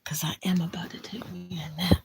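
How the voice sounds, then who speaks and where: A woman's voice: lowish voice, flat delivery, brisk, background noise. A young woman chatting with viewers on a livestream from her bed at home, drowsy and murmuring, often dropping to a whisper. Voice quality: husky, breathy voice. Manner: drowsy, drifting between murmurs and sudden loud laughs. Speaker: livestream host. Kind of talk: casual livestream chat with viewers. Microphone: phone camera.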